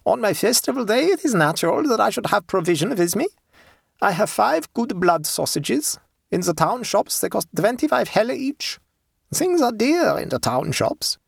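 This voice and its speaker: A narrator voicing a stolid, shrewd peasant woman in a storybook, calm, devout and matter-of-fact. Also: mid-range voice, vocal fry, breathy edge, no room sound, studio quality, voice pushed up, full character voice. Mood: calm haggling. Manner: tranquil, unhurried, matter-of-fact.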